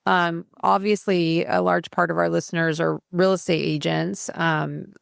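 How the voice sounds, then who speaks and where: A woman's voice: on the low side, fairly even intonation, unhurried, vocal fry, no echo, studio quality. A young adult woman hosting a casual interview podcast, upbeat and chatty, reading an intro before talking freely. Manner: upbeat, reads scripted intros. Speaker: podcast host.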